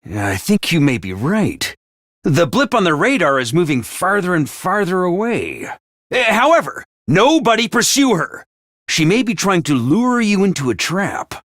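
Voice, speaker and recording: A bombastic little mascot commander for a video game. The voice is high and bright, with enormous melodic swoops between chirpy cheer and sly menace. Delivery is theatrical and emphatic. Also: male voice, big pitch swings, a little slow, dry recording, studio quality, full character voice. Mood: businesslike. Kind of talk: animated video game voice acting.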